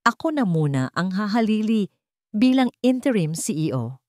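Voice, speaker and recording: Woman voicing a calm, scheming older businessman. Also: mid-range voice, dry recording, clean studio sound, pitched above the performer's own voice, natural voice. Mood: calm, calculating. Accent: Filipino. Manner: calm, formal, measured. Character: scheming, coldly composed older businessman father.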